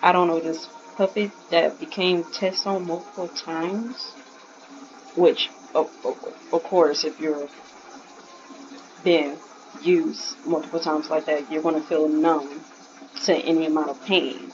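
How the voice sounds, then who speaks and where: Slow-talking woman on a muffled, noisy mic. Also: sits low, steady tone. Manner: hesitant, thinking aloud. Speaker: gaming video commentator. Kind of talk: casual reaction commentary. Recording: muffled mic with clear background noise.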